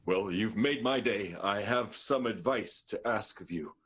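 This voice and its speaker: A man's voice: fairly low pitch, measured pace, a bit bright, breathy edge. A man playing an earnest, lovesick, secretive character in an improvised radio soap, with guitar music behind him. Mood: lovesick, confiding.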